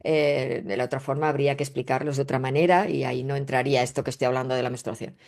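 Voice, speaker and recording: A woman's voice: extremely low pitch, steady tone, quick pace, darkish tone. A woman with a Spanish accent from Spain, speaking as an interview guest in an emphatic, didactic way and often checking in with rhetorical questions. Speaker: guest, astrologer and spiritual researcher. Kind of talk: conversational interview explanation.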